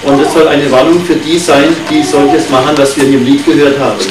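A man, a native German speaker, preaching in short phrases and pausing for his interpreter. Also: fairly high pitch, steady tone. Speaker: visiting preacher. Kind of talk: sermon given through an interpreter. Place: church hall. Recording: echoey hall from far off, noisy.

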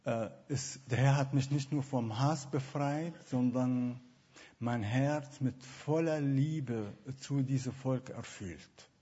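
A man's voice: medium pitch, slow, dark tone, husky, muffled recording. A man from Syria with a Syrian Arabic accent, speaking German as a second language, telling his personal testimony hesitantly with many fillers. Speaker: guest.

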